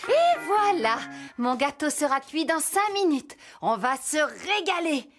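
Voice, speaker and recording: High, bubbly cartoon cicada. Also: female voice, very animated, a little slow, music in the background. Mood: playful. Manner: breezy, exclamatory. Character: bubbly, scatterbrained, playful female cartoon cicada.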